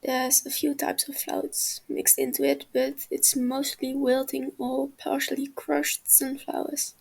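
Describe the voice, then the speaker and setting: A woman narrating a fan fiction audiobook in a soft, hushed, half-whispered voice. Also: high voice, completely flat delivery, unhurried, gravelly.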